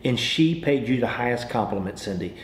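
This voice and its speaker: A roomy, echoing recording with slight noise carries an American man's voice at an average male pitch. He talks like a folksy salesman coaching others, informal and pitch-ready. He often repeats a phrase or restarts it. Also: lively intonation, a little fast. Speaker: sales trainer. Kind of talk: informal training talk with acted example phone calls.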